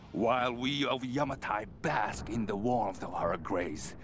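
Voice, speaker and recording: A man's voice: medium pitch, vocal fry, a little hiss or hum. An actor voicing a commanding ancient army general in a video game, solemnly declaiming to his warriors. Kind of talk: dramatic oration.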